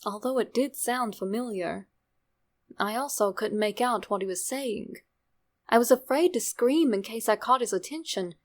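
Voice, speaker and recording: A woman reading a scripted creepypasta in an expressive storytelling way, with dramatic pauses and drawn-out words. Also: on the high side. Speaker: narrator reading a horror story.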